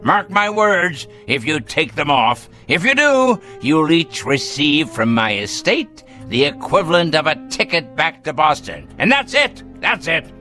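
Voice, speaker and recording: A bitter, sardonic old patriarch near death in a radio drama. He speaks slowly and grandly, with sweeping swings of pitch, biting off his words like commands. Music plays behind him. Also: very animated, pushed and bright, exaggerated voice. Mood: threatening. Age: older. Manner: theatrical, deliberate, biting, grandiloquent.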